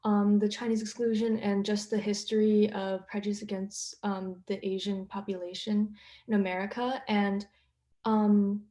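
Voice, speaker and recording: A young woman answering panel questions earnestly, pausing often to find her words. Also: medium pitch, level tone, dark tone, reverberant. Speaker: playwright.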